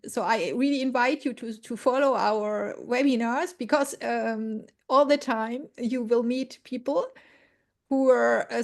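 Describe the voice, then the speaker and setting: A woman moderating a webinar, speaking haltingly with many fillers. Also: on the high side.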